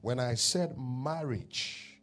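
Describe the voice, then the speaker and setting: A man from Ghana with a Ghanaian accent, a pastor calling himself a prophet, praying over people in a church service with slow drawn-out declarations that swell into loud shouts. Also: medium pitch. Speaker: pastor, self-styled prophet.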